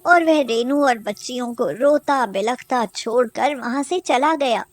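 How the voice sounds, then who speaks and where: A woman's voice: on the high side, unhurried. A woman reading a scripted story as a storyteller. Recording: music behind the voice.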